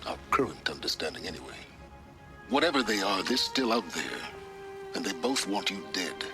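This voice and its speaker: A man playing a cool, enigmatic mentor in a video game, speaking in a dry, deliberate, erudite way. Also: low voice, sing-song, over music. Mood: grave, fascinated.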